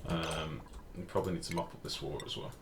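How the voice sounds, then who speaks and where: A man with a deep, booming voice giving casual, sweary live game commentary as a streamer and chatting with viewers. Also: fairly even intonation, fast talker. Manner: informal, sweary, reads and answers chat. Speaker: game livestreamer. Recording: clear background noise.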